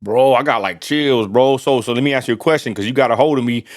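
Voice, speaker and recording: A man's voice: medium pitch, fast talker. An American man hosting a casual, slangy interview, often stammering and repeating words. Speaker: host, interviewer.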